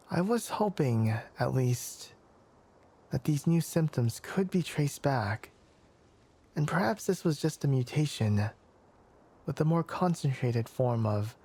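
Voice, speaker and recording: A man playing a young, scholarly forest ranger with animal ears in an ASMR roleplay, courteous and measured, with a soft, breathy voice. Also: mid-range voice. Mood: pensive, rueful. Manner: measured, hesitant, courteous, bookish phrasing. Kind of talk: ASMR roleplay, soft character acting addressed to listener.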